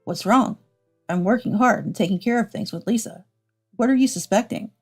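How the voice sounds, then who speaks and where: A woman's voice: medium pitch, moderate pace, slightly rough, soft background music. A woman voicing an evasive, self-justifying cheating husband in a dramatized story.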